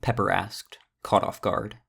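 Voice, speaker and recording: A storyteller narrating a scripted fantasy audio drama. The voice is low and notably creaky. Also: flat delivery, unhurried, fairly bright tone.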